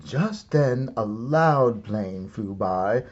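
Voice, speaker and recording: A man reads a children's story aloud as an expressive narrator, theatrical and deliberate with comic timing. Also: on the low side, dull audio. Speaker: storybook reader, art and stories teacher.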